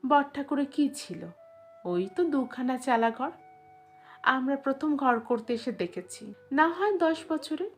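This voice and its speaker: An old village matron played by a woman narrator talks in a fairly high voice, wistful and admiring. She exclaims and gossips as a storybook character, over faint music. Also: lively intonation, measured pace. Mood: wistful admiration. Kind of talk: audio storybook character dialogue.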